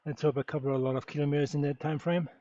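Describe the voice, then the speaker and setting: A man's voice: on the high side, level tone, rapid-fire, dark tone, no room sound. A man chatting while riding. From Germany. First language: German. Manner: easygoing, thinking aloud with many fillers. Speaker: streamer riding an indoor bike session. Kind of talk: casual livestream chat, answering viewers while riding. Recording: dull, dry lo-fi sound.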